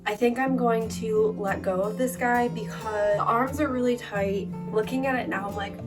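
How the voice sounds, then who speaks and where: A woman's voice: on the high side, fairly even intonation, moderate pace. A woman in her twenties chatting casually as a lifestyle vlogger, her voice still a little worn from being sick, with lots of "like" fillers. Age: 20s. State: recovering voice after illness. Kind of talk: casual vlog chat. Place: bedroom at home. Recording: distant mic with guitar music behind.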